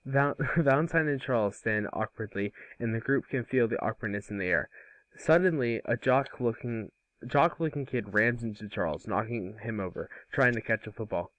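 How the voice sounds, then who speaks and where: A man narrating a script aloud in an amateur table read, with a halting, stumbling delivery. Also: mid-range voice, a bit bright, dry recording, low-quality recording.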